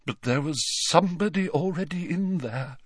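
Man putting on a shrill, theatrical tipsy woman professor. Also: slightly rough, no room sound, clean studio sound. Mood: indignant, dramatic. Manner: halting, drawn-out, grandiose.

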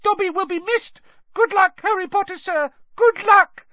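A narrator voicing a small male house-elf servant, squeaky, earnest and deferential, in an audiobook style. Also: moderate pace, no room sound, highs cut off. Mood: flustered. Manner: speaks of himself in third person, deferential, broken grammar. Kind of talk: audiobook character voice.

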